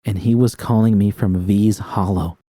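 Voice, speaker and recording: A man's voice: fairly low pitch, steady tone, normal speed, mellow, dry recording. A man reading first-person fiction as an audiobook narrator, with dramatic storytelling delivery.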